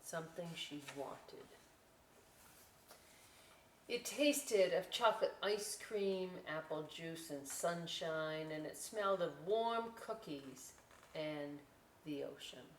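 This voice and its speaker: A woman's voice: sits low, lively intonation, takes it slowly. Woman reading a storybook, roomy distant recording. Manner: conversational, explains pictures as to young children. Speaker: librarian story time host. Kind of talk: picture-book read-aloud with casual asides. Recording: roomy, distant mic with clear noise.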